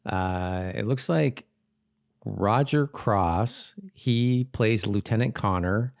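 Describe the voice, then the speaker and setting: Male podcast host, low register, dry close sound, loose rambling delivery that doubles back on itself. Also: slow, creaky, no echo. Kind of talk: casual rewatch podcast chat.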